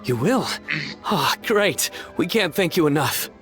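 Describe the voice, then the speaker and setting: Earnest, expressive city guard. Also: male voice, on the high side, slow, soft background music. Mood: relieved. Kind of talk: scripted game dialogue.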